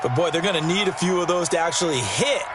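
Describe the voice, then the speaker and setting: A man's voice: a little high, animated, fast talker, over a noisy crowd, heavy background noise, close to an everyday voice. A man playing a folksy, opinionated ex-player giving American football analysis in a video game, speaking emphatically. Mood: emphatic, upbeat. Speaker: colour commentator, former player. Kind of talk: sports commentary. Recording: game audio under loud stadium ambience, thuds.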